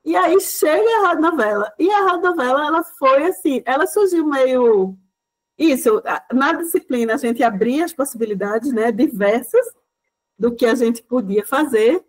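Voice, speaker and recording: A woman's voice: high voice, animated, moderate pace, low-quality recording. Chatty woman guest lecturer. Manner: chatty, loose, leans on fillers like "né". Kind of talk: informal talk to a class.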